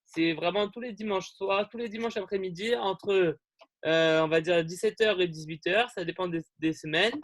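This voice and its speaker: A man's voice: high-pitched, normal speed, no echo. A young man hosting an online group meeting, talking in a friendly, rambling way with many fillers and restarts over a video call. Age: young adult.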